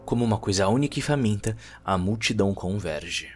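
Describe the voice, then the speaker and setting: A man's voice: on the low side, lively intonation, darkish tone. Brazilian man, theatrical narrator over prominent music. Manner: theatrical, deliberate, short emphatic phrases. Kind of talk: dramatic scripted audiodrama narration.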